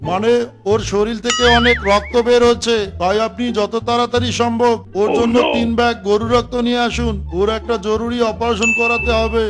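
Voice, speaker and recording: Very high-pitched exasperated cartoon doctor acted by a man. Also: little pitch movement, a little fast. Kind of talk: children's cartoon voice acting. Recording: music behind the voice.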